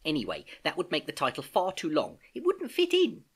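A drowsy, kindly storybook uncle, voiced by the narrator. He speaks huskily with big swoops of pitch, relaxed and hesitant, and enjoys teasing as he teaches. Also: brisk, slightly rough. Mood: encouraging.

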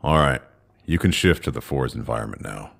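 An actor playing a stern, watchful mission leader in an audio drama, commanding and deliberate, with a gravelly, husky voice. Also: male voice, very deep voice, expressive, a bit bright, heavily acted.

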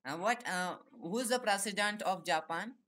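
A young man with an Indian accent chats casually on a phone video call, asking questions haltingly in simple, repetitive English. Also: high-pitched, pushed and bright. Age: young adult. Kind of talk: casual chat with strangers.

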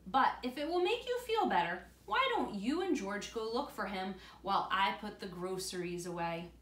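A woman's voice: mid-range voice, lively intonation, normal speed, a bit bright, lightly acted. A woman reading the part of a practical, reassuring mother in a storybook, in a roomy space. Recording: roomy, distant camera mic with faint noise.

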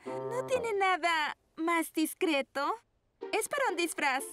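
A woman's voice: extremely high pitch, animated, takes it slowly, a bit bright, full character voice. A woman voicing a haughty, scheming teenage model girl in a children's cartoon, dramatic and self-important, with a Latin American accent. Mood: scheming, evasive. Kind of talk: dubbed children's cartoon acting. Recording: music behind the voice.

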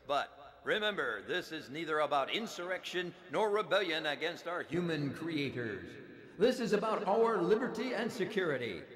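A man's voice: on the high side, a bit bright. A man voicing a proud android colonel in a game cutscene, delivering a solemn, declamatory military speech. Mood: rousing, fervent. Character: proud male android army colonel rallying his troops. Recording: long reverberant hall echo.

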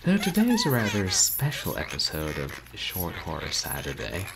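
Guy streamer chatting to viewers, super low voice, wry and wordy, noisy bedroom recording. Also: wildly swooping pitch, moderate pace, vocal fry. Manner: wry, self-deprecating, wordy phrasing. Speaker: livestream host, video game streamer. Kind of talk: casual livestream chat to viewers. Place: small bedroom, indoors. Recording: noisy home webcam stream.

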